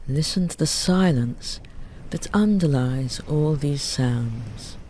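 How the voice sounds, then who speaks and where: A meditation guide speaks very slowly in a mellow, relaxed voice, leaving long pauses between short phrases. The delivery is gentle and soothing, leading a restful body relaxation, with ocean waves washing softly behind the voice. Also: medium pitch. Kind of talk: guided Yoga Nidra meditation narration. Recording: steady ambient noise under the voice.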